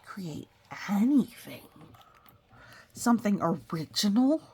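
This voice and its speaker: A woman's voice: medium pitch, very animated, takes it slowly, a little mellow, breathy edge, full character voice. A woman voicing an anxious, earnest young cookie from a storybook, with a hesitant, dramatic delivery. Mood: anxious, startled.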